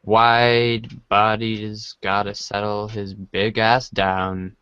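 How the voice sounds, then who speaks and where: Halting man reading aloud, muffled sound. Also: fairly low pitch, flat delivery, fairly bright tone, smooth, no room sound. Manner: halting, stumbles over lines. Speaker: podcast reader. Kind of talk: dramatic read-aloud of a webcomic script.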